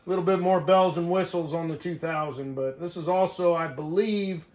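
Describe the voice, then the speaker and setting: A man's voice: on the high side, moderate pace, darkish tone. An American man chatting casually and in a folksy way as he hosts a hobby radio video. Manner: folksy, says "man" often. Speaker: CB radio operator and video host. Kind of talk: casual hobby vlog chat. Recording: roomy echo.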